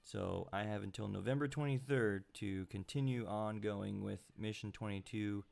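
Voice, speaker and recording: A congested man with a deep, gravelly voice hosts a loose live stream. He rambles through his chat with plenty of fillers and little chuckles. He speaks into a computer mic with the gain turned up. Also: moderate pace, darkish tone. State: sounds congested. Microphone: home computer mic with high gain.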